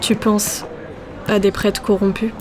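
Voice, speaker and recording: In an echoey space, a woman plays a cautious adventurer with little movement in her pitch. She sounds wary and restrained, as in an audio drama. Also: flat delivery, unhurried, a little mellow, some chatter behind, close to an everyday voice. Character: cautious female companion with secret powers. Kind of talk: French audio drama acting. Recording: roomy echo, faint noise.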